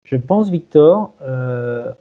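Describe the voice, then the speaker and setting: Male technical presenter, medium pitch, halting and repetitive phrasing, dull lo-fi video-call sound. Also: animated. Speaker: presenter of a software tool. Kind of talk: informal technical demonstration. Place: remote video conference.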